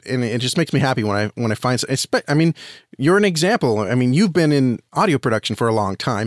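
A man's voice: mid-range voice, no room sound, clean studio sound. An American man giving earnest, enthusiastic conversational answers as a guest in an interview. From United States. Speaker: podcast guest, audio drama reviewer and podcaster.

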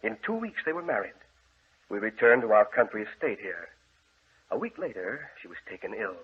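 A solemn, commanding gentleman with a husky, fairly low voice. He speaks formally, in the manner of vintage radio horror, on a tinny old recording. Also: big pitch swings, normal speed, heavily acted. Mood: solemn, sombre. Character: grave, controlling gentleman guarding his deranged brother. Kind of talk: 1940s radio horror acting.

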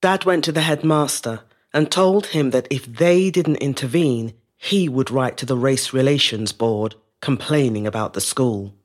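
Slow-speaking, reflective middle-aged woman storyteller. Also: a bass voice. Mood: reflective, pained. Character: resilient middle-aged Zimbabwean-British woman recalling her youth. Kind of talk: scripted dramatic monologue, first-person storytelling.

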